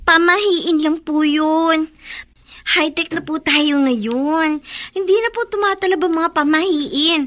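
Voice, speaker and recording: A woman's voice: sits high, slow, a bit bright. A woman voicing a playful young grandchild who teases her grandfather in a radio drama. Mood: teasing. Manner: playful, teasing, polite.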